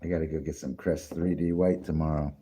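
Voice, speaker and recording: A man's voice: a bass voice, steady tone, dark tone, slightly rough. A tired man chatting loosely on a late-night livestream, drinking and smoking as he rambles. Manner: rambling, slow and drawn-out, drinking and smoking on stream.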